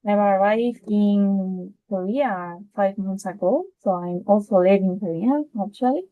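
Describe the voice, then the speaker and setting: A woman from Spain with a Spanish accent gives an informal presentation over a video call, in simple, slightly halting phrasing. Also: mid-range voice, narrow, tinny audio. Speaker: guest presenter.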